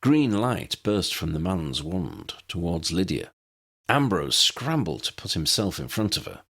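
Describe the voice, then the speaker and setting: Man, very low voice, husky and creaky, expressive story narration. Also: measured pace. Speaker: audiobook narrator.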